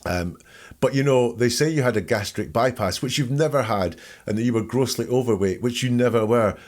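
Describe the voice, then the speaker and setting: A man's voice: mid-range voice, a little fast, darkish tone. A man hosting a podcast, giving informal, wry analytical commentary and reading out quotes to pick them apart. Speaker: podcast host.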